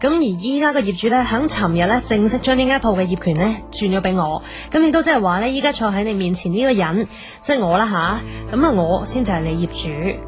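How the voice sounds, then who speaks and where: A woman's voice: mid-range voice, lively intonation, fast, hardly any pauses, lightly acted. An actress with a Hong Kong Cantonese accent playing a cool, blunt and insistent young woman in a radio drama. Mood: cool, assertive. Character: assertive, cool young woman, new landlady back from abroad. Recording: music behind the voice.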